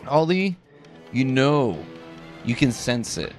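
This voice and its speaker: A man's voice: mid-range voice, animated, takes it slowly. A man running a live tabletop role-playing game as game master, switching between narration and rules talk. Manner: hums and mutters while working out rules. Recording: music playing behind the voice.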